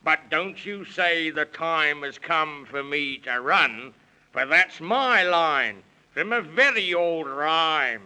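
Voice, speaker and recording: Blustering, bright-voiced British walrus gentleman. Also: fairly high pitch, lively intonation, slow pace, clean tone, full character voice. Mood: pompous bluster. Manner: pompous, exclamatory, speaking in rhymes. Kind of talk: 1930s children's radio acting. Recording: vintage 1930s radio recording.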